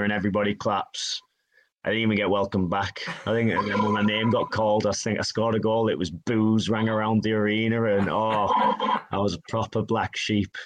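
A man, telling stories from his career in a relaxed interview, often acting out what others said to him. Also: fairly low pitch. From Northeast England. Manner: chatty, self-deprecating, quotes others in dialogue. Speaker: guest, hockey player. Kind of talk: casual interview storytelling.

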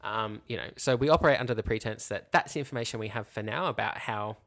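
Male podcast co-host, lowish gravelly voice, thoughtful and explanatory, conversational. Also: fast talker, a bit bright. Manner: hedging, explanatory, frequent 'you know' and 'like'. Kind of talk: reflective casual chat.

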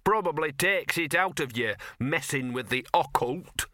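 A man's voice: medium pitch, animated, moderate pace, pushed and bright, no room sound, studio quality. A man voicing a sullen little dog in an audiobook, muttering and whining self-pityingly in slangy, colloquial speech. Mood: sullen, aggrieved. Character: grumbling, sullen small bow-legged talking dog.

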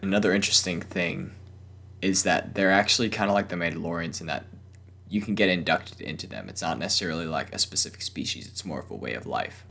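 A man's voice: deep voice, fast, vocal fry, bright, forceful tone. A young man hosting a casual solo podcast, his voice weak and strained from a cough, talking hesitantly with many fillers and restarts. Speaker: podcast host.

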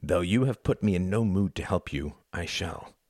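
A man reading as a stern wealthy father delivering bad news gravely. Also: sits low, expressive, darkish tone, no echo, clean studio sound. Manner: grave, heavy, measured.

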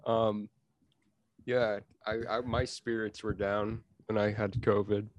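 A young man co-hosting a casual sports podcast, easygoing and halting, with plenty of fillers and restarts. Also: lowish voice, level tone, dry recording. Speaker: podcast co-host.

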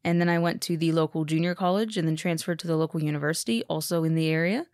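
A woman in her thirties chatting on a podcast, easygoing and quick to laugh. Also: low voice, darkish tone, no room sound, clean studio sound. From Texas. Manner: chatty, laughs easily, self-deprecating. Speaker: podcast producer and guest. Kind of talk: casual podcast chat.

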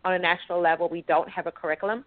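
A woman's voice: lowish voice, fairly even intonation, very fast, no echo. A woman from the United Kingdom, raised in Florida, speaking earnestly and outspokenly as a guest on a call-in show, often restarting her sentences. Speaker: guest, educator and writer. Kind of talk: call-in radio interview. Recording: tinny phone line.

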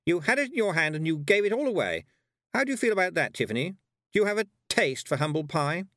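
A man voicing a scornful, haughty young witch girl in an audiobook with a sharp, sneering delivery. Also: a little high, sing-song, fast talker, no echo, studio quality, pitched above the performer's own voice. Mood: sharp, taunting.

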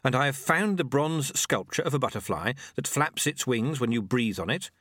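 A man's voice: medium pitch, lively intonation, normal speed, fairly bright tone, no room sound, studio quality, pitched above the performer's own voice. A man voicing an earnest, nervous young novice monk in an audiobook, hesitant, deferential and easily awed. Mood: earnest, respectful.

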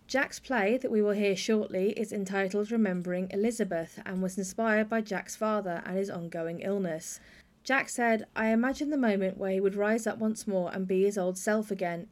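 A woman's voice: medium pitch, darkish tone. A woman hosting a podcast, reading a scripted introduction. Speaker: podcast host.

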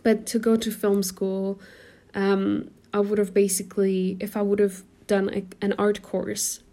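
Mellow, chatty young woman podcaster. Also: mid-range voice, completely flat delivery, a little slow. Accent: American-sounding. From Germany, raised in Portugal. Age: 20s. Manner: soft, chatty, many ums and little laughs. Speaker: podcast host and coach. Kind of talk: casual solo podcast talk. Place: dark quiet room at home. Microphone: phone.

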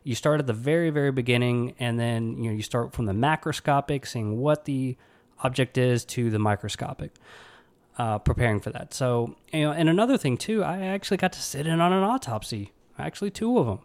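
A man's voice: medium pitch, a little fast, a little mellow. A man with an Alabama accent hosting his own podcast, talking earnestly in a rambling way full of fillers and restarts. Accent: Alabama Southern. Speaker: podcast host, pathology resident. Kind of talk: casual solo podcast monologue.

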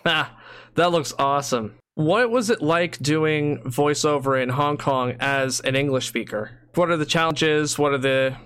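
A man's voice: on the high side, a bit bright, smooth. A man hosting an interview podcast, asking friendly questions and laughing along with his guest. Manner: friendly, laughs often, quick follow-up questions.

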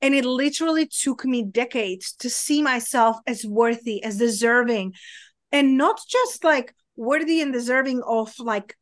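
A woman's voice: on the high side, a little slow, dry recording. A woman with a Czech accent, a guest coach talking at length in a casual interview, full of "like" and "you know". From Czech Republic. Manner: talkative, many "like" and "you know" fillers.

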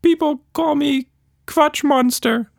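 A man's voice: very high-pitched, takes it slowly, gravelly, no echo, studio quality, voice pushed up, heavily acted. A man voicing a cheerful, playful, stretchy pink creature in a bubbly, exclaiming way. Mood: shy.

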